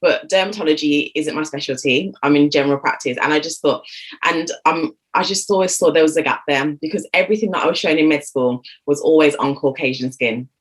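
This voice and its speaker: A woman's voice: low-pitched, fairly quick, low-quality recording. A woman in her thirties, a GP hosting an interview on a video call, chatty and rambling with lots of fillers. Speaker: host, GP. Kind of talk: casual interview chat.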